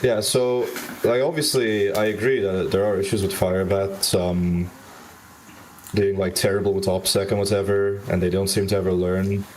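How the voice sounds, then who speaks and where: A man calling in to a livestream, speaking casually in a hesitant, halting way. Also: deep voice, little pitch movement, background noise. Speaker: caller. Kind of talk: casual call-in conversation.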